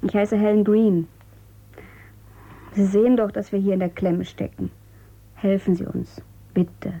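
A woman's voice: medium pitch, fairly even intonation, measured pace, mellow, lo-fi sound, close to an everyday voice. An actress playing a defiant, cool-headed girl of eighteen in a radio drama, with a pert, questioning manner. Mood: pleading. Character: defiant, cool-headed eighteen-year-old female space tourist. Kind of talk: German radio drama acting.

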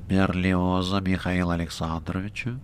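Picture a cheeky, fanged red-haired rogue from an audiobook, as a male reader puts him on, sly and rude with a deep, low voice. He speaks in an offhand way, and there is faint hiss behind him. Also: flat delivery, moderate pace, lightly acted. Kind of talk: audiobook character reading.

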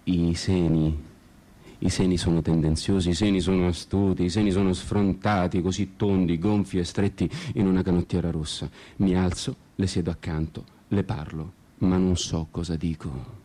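An actor playing an ironic, lovestruck storyteller in a literary radio play, speaking in deliberate, measured phrases. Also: male voice, deep voice, little pitch movement, warm tone. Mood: wistful, ironic. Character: ironic, disenchanted male storyteller, lovestruck drinker in Prague. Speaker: actor and narrator. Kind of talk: literary radio theatre monologue with sung passages. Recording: guitar music behind the voice.

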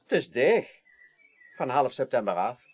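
Curt, husky hotel waiter. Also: male voice, mid-range voice, big pitch swings, measured pace. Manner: curt, formal. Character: curt, unwelcoming hotel waiter, obedient when ordered. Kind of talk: 1980s Dutch radio drama acting.

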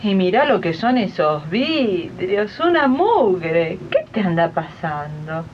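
A woman's voice: fairly low pitch, big pitch swings, slow pace, a little hiss or hum. A woman playing a haughty, suspicious lady of the house in a 1980s community radio drama, condescending and nagging, with an Argentine accent. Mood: disapproving. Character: haughty, suspicious female employer of a maid. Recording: muffled 1980s archive radio recording.

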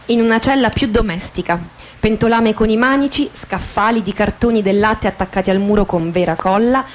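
A woman performs a dramatised memoir as radio theatre, her pitch in the middle range. She speaks in short, measured phrases with deliberate pauses, over musical accompaniment. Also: slight background noise. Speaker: actress reading a dramatised memoir. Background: guitar music behind the voice.

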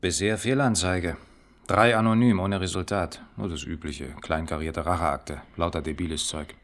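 Wry, low-pitched male detective. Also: fairly even intonation, normal speed. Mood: dismissive, resigned. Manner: laconic, wry, colloquial. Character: dry, shrewd male police detective reporting to his chief. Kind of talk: German radio crime play dialogue.

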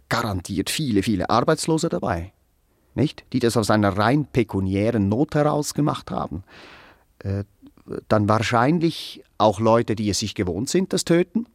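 An actor playing a pedantic, self-important musician in a radio play, wordy and hesitant, setting things out with clinical precision. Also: male voice, mid-range voice, no echo, clean studio sound, natural voice. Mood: self-justifying. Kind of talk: naturalistic radio play dialogue.

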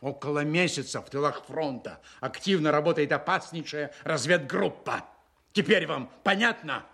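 A man's voice: on the high side, moderate pace, fairly bright tone. A man acting a stern, impatient Soviet army general in a Russian radio play, speaking in a curt, commanding way. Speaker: actor in an audio drama.

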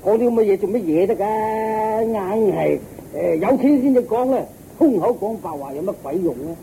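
A man's voice: high voice, animated, normal speed, vocal fry, warm tone. Casting: weary old peasant father, Hong Kong Cantonese, grumbling and colloquial, very dull tone, light hiss, radio drama. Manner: complaining, grumpy, colloquial. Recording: muffled radio recording, faint noise.

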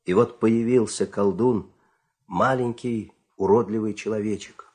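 A man narrating a fairy-tale audio play in an expressive, scripted storytelling style. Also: on the low side, measured pace.

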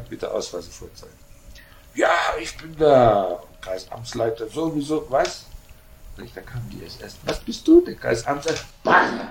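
A man playing a boastful old-regime policeman who relishes his brutal anecdotes. His pitch leaps about as he acts out exchanges in colloquial speech, with clear noise and ambience around him. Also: low-quality recording. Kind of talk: animated spoken reminiscence. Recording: noticeable background noise and ambience.

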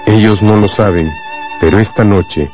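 Low-voiced male radio drama narrator. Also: unhurried. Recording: loud music and crowd sound behind.

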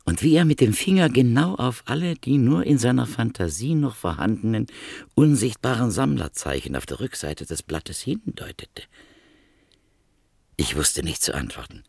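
A man narrating a literary radio drama in a measured, grave storytelling manner. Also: lowish voice, creaky, a little mellow, breathy edge, dry recording, clean studio sound.

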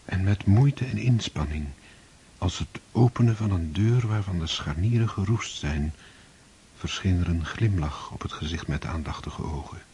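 A man narrating a radio drama in a slow, grave, measured way. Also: low-pitched, lively intonation, dark tone, a little hiss or hum.

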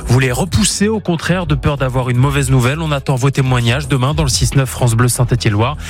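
A man presenting a scripted radio promo in a clear announcing manner. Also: medium pitch, fast talker.

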